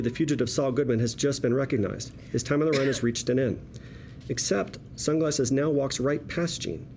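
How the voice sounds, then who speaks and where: A man's voice: mid-range voice, darkish tone. A man reading screenplay directions aloud as narration at a table read. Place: room with a group at a table read. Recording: noisy room ambience.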